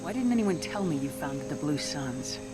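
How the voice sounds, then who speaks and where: A woman's voice: sits low, lively intonation, normal speed, low-quality recording. A woman playing a confident military commander in a video game, direct and composed. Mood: cool, probing. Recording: music behind the voice.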